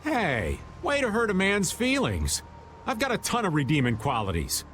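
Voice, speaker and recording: A man's voice: sits high, huge pitch swings, normal speed, a bit bright, slight background noise, heavily acted. A man playing a roguish, easygoing middle-aged rascal in a video game, playful and world-wise. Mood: teasing. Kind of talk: video game dub acting.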